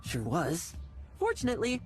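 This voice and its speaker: A man's voice: sits high, wildly swooping pitch, normal speed. A man voicing a wary, cocky young fighter in an anime video game, casual and sardonic. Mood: breezy. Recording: game music behind the voice.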